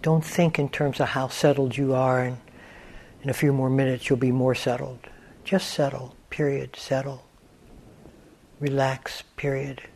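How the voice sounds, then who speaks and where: A man teaching a guided meditation, speaking deliberately in short phrases with long pauses, often letting endings drop to a hush and repeating key words. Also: medium pitch, level tone, creaky.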